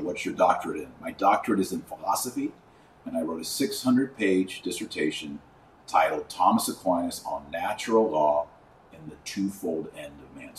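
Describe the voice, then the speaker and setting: A man hosting a religious commentary podcast, speaking in an emphatic, didactic, preachy way with deliberate stresses and repetition. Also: low-pitched, darkish tone. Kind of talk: preachy commentary to camera or microphone. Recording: roomy echo, distant mic, faint noise.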